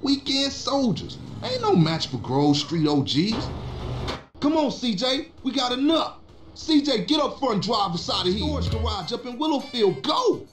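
A brash young street gangster, full of swaggering slang and teasing boasts. His voice swings widely in pitch as he talks. The game audio is echoey, with music running underneath. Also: very animated, moderate pace. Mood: urging. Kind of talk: video game cutscene acting.

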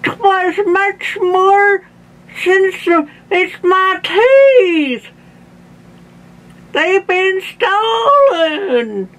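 A woman's voice: extremely high pitch, expressive, very slow, fairly bright tone, pitched above the performer's own voice, exaggerated voice, poor audio. A woman voicing a distraught, indignant old grandpa in a storybook, melodramatic and wailing. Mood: tearful, indignant.

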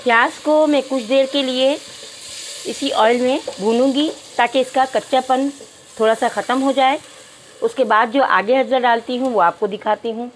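A woman's voice: sits high, fairly even intonation, normal speed, a little hiss or hum, low-quality recording. A woman narrating a cooking tutorial step by step, addressing viewers politely. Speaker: cooking channel host.

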